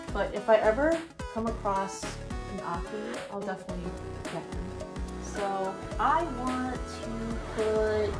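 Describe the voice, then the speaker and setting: A young woman chatting casually to viewers as an unboxing vlogger, gushing over cute things and talking to objects as she handles them. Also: mid-range voice. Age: young adult. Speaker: vlogger unboxing collectible figures. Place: indoors, living room at home. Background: background music.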